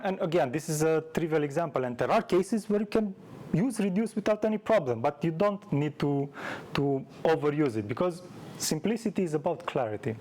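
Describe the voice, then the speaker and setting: A man's voice: on the high side, darkish tone, slight background noise. A man giving an informal technical meetup presentation in a conversational, self-deprecating way, like a developer sharing experience. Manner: conversational, self-deprecating, illustrates points with anecdotes. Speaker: conference speaker, software developer. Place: live meetup talk before an audience.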